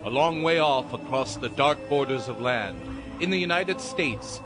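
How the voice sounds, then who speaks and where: A man's voice: mid-range voice, expressive, measured pace. A man narrating a dramatized audiobook story in a dramatic, scripted storytelling style. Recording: dramatic music under the voice.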